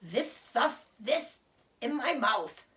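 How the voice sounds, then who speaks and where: A woman's voice: fairly high pitch, sing-song, slow, pushed and bright, voice pushed up, full character voice. Woman putting on a sarcastic, grumbling old storybook rat. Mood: complaining. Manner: mocking, complaining, theatrical. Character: grumbling, sarcastic old male rat, greedy and self-pitying.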